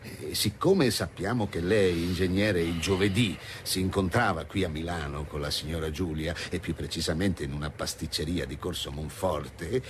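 An actor playing a shrewd, genial middle-aged provincial police commissioner in a radio drama, with deliberate, drawn-out phrasing. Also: male voice, sits low, lightly acted. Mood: measured, probing. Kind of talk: naturalistic radio drama acting. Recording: loud car and ambience noise behind.

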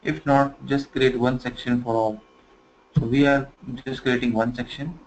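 A man teaching software configuration in a screen-recorded tutorial, talking conversationally and filling pauses with "you know". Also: mid-range voice, steady tone. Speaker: software trainer. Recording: slightly dull lo-fi screen recording with faint noise.

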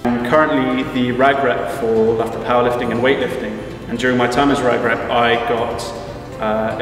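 A man's voice: medium pitch, distant mic. A young man talks in a plain, matter-of-fact way with very little melody. He stands back from the mic in a strongly echoing room. Music plays underneath. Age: young adult. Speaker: election candidate. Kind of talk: short campaign statement to camera. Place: university TV studio.

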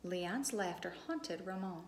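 Woman reading aloud in an echoey room. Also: fairly low pitch. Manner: expressive, deliberate, addressing children directly. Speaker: preschool teacher. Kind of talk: read-aloud storytelling to young children. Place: empty classroom. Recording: echoey room with background noise.

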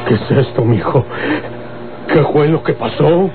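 A Mexican man playing a gruff, vengeful older rural boss, folksy and emphatic, in an old radio serial. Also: fairly high pitch, expressive, a little slow. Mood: urgent concern. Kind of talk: Mexican golden-age radio serial acting. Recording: tinny old radio recording, music behind.

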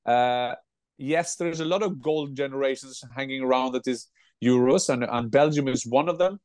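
A man in his sixties with a Norwegian accent, co-hosting a casual football chat from home over a video call, animated and given to restarting his words. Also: mid-range voice, moderate pace, no room sound. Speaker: football pundit and co-host. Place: home room with football shirts.

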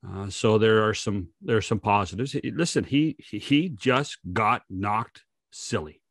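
Older male podcast co-host, North American accent, lowish voice, emphatic sports chat, close dry mic. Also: unhurried, fairly bright tone, no echo. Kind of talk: casual sports commentary. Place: home room on a video call. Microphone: desk microphone close up.

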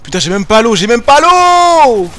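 A man's voice: extremely high pitch, sing-song, moderate pace, fairly bright tone. A young man streaming a game from home, chatting with viewers in slangy, joking banter. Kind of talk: casual gaming commentary. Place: home room, webcam.

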